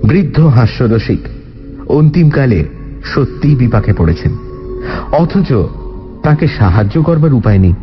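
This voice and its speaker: An actor playing a detective's companion who recounts the case as a radio drama's storyteller. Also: male voice, mid-range voice, lively intonation, measured pace, pauses often, lightly acted. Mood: reflective, sombre. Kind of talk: scripted dramatic narration. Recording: muffled radio audio with music bed.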